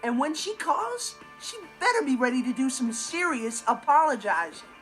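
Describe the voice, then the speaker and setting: An earnest, high-voiced American sitcom teen. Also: male voice, big pitch swings, normal speed, fairly bright tone, soft background music, full character voice, poor audio. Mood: self-assured. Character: earnest, excitable, gullible teenage boy. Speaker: sitcom actor. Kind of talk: comedic monologue to the audience.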